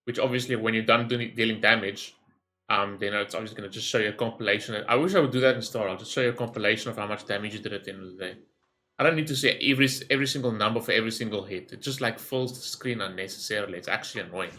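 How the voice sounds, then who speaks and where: A man's voice: on the low side, very fast, bright, forceful tone. A man giving casual gameplay commentary, rambling as he thinks aloud and swearing freely. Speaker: gaming streamer recording a reaction video.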